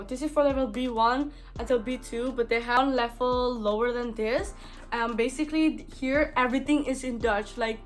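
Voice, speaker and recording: Indonesian girl in her 20s vlogging in her bedroom, bit high voice, soft music under it. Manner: chatty, many "like" fillers and restarts. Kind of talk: casual talk to camera. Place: small bedroom indoors.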